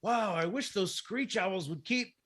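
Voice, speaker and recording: A man's voice: high-pitched, measured pace, fairly bright tone, husky, no echo, studio quality, heavily acted. An actor playing a timid, easily spooked young oil-rig man in radio horror drama style, hesitant and folksy. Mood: uneasy. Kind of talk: old-time radio horror drama acting.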